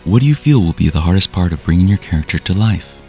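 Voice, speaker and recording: A man's voice: deep voice, animated, fast talker, dark tone, faint music behind. A man reading out scripted interview questions as the interviewer.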